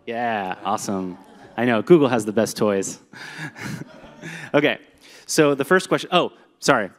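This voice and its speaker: A man's voice: mid-range voice, expressive, measured pace. Upbeat man presenting in a hall. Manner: upbeat, conversational, with fillers. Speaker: presenter at a company meetup. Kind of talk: live presentation to an audience. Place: large echoey hall with a live audience. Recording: long hall reverb.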